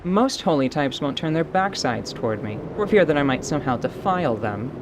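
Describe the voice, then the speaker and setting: A man voicing a battle-worn, winged fantasy mercenary in an audio drama, stoic and wry, speaking in a dry, formal, deliberate way. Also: on the high side, very animated, noisy background. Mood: stoic, explanatory.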